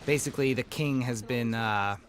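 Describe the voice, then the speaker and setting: A film director with a fairly high voice, chatting eagerly through a commentary track with lots of fillers. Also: male voice, normal speed. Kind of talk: audio commentary chat.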